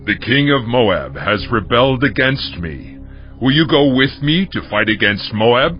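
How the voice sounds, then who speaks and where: A man's voice: mid-range voice, very animated, a little slow. An actor playing a proud, embittered king of Israel, declaiming regally in a dramatized scripture reading. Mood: grave urging. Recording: muffled audio over faint music.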